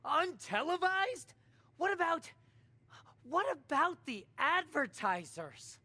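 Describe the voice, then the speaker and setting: A woman playing a fussy, easily scandalized corporate assistant in a video game, speaking in a flustered, halting, exasperated way. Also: high voice, big pitch swings, sharp tone, exaggerated voice. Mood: indignant, flustered. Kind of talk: game cutscene dialogue.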